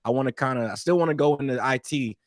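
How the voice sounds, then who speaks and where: A man's voice: mid-range voice, quick pace, dry recording, clean studio sound. Laid-back man from East St. Louis. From East St. Louis, Illinois. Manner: relaxed, rambling, peppered with "man" and restarts. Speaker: guest. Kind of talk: casual interview storytelling.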